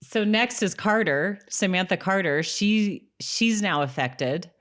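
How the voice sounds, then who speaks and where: A woman's voice: medium pitch, animated, slow pace, fairly bright tone. A woman co-hosting a podcast, chatting in an informal, amused way as she recaps a TV episode. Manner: amused, informal, mimics characters' lines mockingly. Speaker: podcast co-host. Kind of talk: casual recap chat about a TV episode, with some read-out summary.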